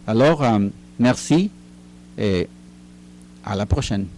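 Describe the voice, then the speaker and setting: An older retired man, a guest in a studio interview, answering slowly with many fillers and restarts. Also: lowish voice, animated, a little mellow, a little hiss or hum. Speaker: guest, retired teacher and writer. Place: recording studio.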